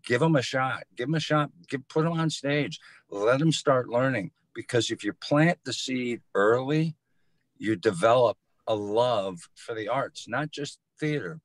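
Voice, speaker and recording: A man's voice: lowish voice, no echo. A man giving easygoing, thoughtful, conversational answers as an interview guest from community theater.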